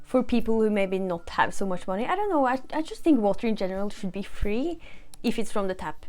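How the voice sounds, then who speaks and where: A woman's voice: mid-range voice, big pitch swings, brisk, a little mellow, soft background music. Chatty young woman from Norway. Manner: chatty, many fillers like "like" and "you know". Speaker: vlogger. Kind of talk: casual talk to camera.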